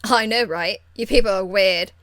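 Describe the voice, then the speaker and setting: A woman voicing a cheeky, crude young street rebel woman from a fantasy game, blunt, slangy and excitable. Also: medium pitch, moderate pace, dry recording, close to an everyday voice. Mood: scornful. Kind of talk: video game character acting.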